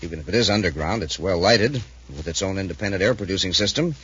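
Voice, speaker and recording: An actor playing a smug, commanding criminal mastermind, suave and grandiose, in 1950s radio serial style. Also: male voice, low voice, quick pace, a bit bright, husky. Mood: suave, patronizing. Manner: suave, self-assured, grandiose. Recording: muffled, faintly noisy 1950s radio recording.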